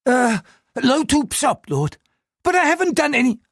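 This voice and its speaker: A narrator voicing a nervous, fawning middle-aged male businessman who pleads in a high, whiny voice. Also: sing-song, a little slow, no echo, clean studio sound, heavily acted. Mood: flustered.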